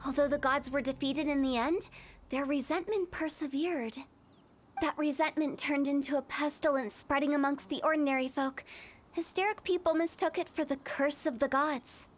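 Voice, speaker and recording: A woman's voice: high-pitched, steady tone, normal speed, a little hiss or hum, close to an everyday voice. A woman voicing a confident, theatrical young funeral director in a video game. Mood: earnest, storytelling. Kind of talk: English video game voice acting. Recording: game music playing behind.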